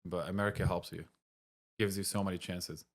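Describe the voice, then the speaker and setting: A man from Syria, middle-aged, speaks in a very low register. He gives short, blunt answers to the interviewer's questions in a relaxed chat. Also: a little fast, creaky. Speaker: cafe owner, guest. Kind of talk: casual interview talk.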